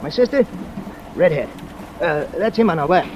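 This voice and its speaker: On a noisy old radio recording, a male actor plays a nervous client. His fairly high voice jumps around with earnest worry, in 1940s noir style. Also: moderate pace, muffled recording, close to an everyday voice. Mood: anxious. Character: anxious, earnest man worried for his sister, detective's client. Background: steady rain and train rumble.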